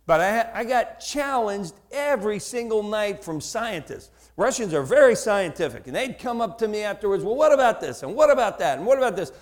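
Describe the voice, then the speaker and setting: A man with a New Jersey accent preaching a sermon, telling a story and acting out both sides of a conversation with lively, repeated words. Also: sits high, a little fast, few pauses. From United States. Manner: animated, acts out dialogue, repeats words.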